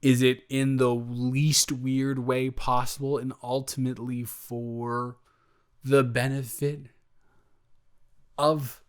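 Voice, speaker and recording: A man acts out a smitten young male roommate in a cozy romantic audio roleplay. His mid-pitched voice moves at an unhurried, slow pace. He rambles casually, cuts himself off and answers his own questions, sheepish yet playfully defensive. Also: little pitch movement, clean tone, lightly acted. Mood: bashful, teasing.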